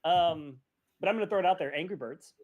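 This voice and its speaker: A middle-aged man hosting a casual podcast, chatting in an animated way and often interrupting himself to restart. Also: fairly high pitch, fast talker, dull audio. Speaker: podcast host.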